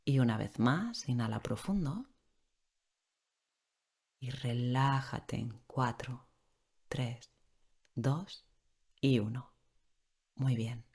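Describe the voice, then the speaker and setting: A woman leading a guided sleep meditation in a gentle, unhurried way with long pauses, giving slow, soothing instructions. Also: rumbling low, slightly rough. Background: relaxing rain sound and soft music.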